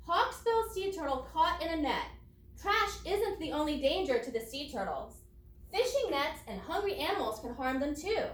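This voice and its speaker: A woman teacher reading a children's non-fiction book aloud, explaining it expressively in simple words for young listeners. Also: sits high. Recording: roomy echo, distant microphone.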